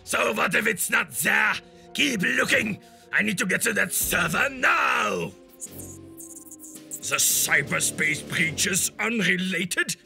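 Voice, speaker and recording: An actor playing a corrupt, bullying middle-aged mayor who barks orders in a contemptuous, gravelly voice. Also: male voice, high voice, lively intonation, takes it slowly, sharp tone, heavily acted. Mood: furious. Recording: game cutscene with music.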